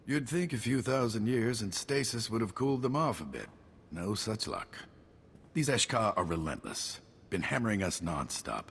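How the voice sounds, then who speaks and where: An actor playing a gruff, battle-weary general with a clipped, wry military delivery in a video game cutscene. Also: male voice, fairly low pitch, slight background noise. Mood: dry, urgent. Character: gruff, weary, wry male army general under siege.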